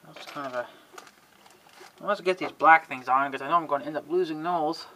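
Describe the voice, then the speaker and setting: Male DIY video host: bright, forward voice, folksy off-the-cuff rambling, faint noise behind. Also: on the high side, fairly quick. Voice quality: frequent throat clearing. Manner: folksy, muttering to himself, mild exasperated cussing. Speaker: video host showing an antenna assembly. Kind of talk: casual rambling hands-on demonstration. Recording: clearly noisy homemade recording with clicks.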